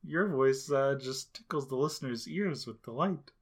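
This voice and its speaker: Casual co-host, mid-pitched, rambling delivery full of fillers. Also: lively intonation, normal speed, background noise. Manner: rambling, heavy on filler words. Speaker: podcast co-host. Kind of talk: casual movie review chat.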